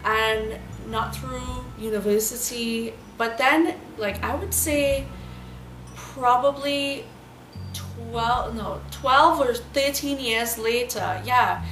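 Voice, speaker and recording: Trinidadian woman in her thirties, chatty beauty vlogger, slightly high voice, roomy echo with faint music behind. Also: slow pace, fairly bright tone, a little hiss or hum. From Trinidad and Tobago. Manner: chatty, rambling, many fillers. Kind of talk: casual storytelling vlog.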